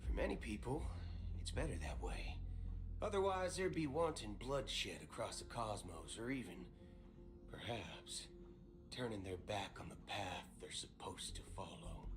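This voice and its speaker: A man's voice: lowish voice, big pitch swings, measured pace. A man voicing a wary, folksy, philosophical galaxy ranger in a video game, with a dramatic drawl. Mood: grave, cautionary. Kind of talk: video game character dialogue. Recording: game music behind the voice. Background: game music.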